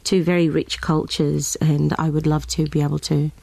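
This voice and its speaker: A woman's voice: low voice, little pitch movement, moderate pace, creaky, mellow, no echo. An Australian woman, a guest singer, chatting in an interview, hesitant, with many ums and restarts. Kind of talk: casual interview chat.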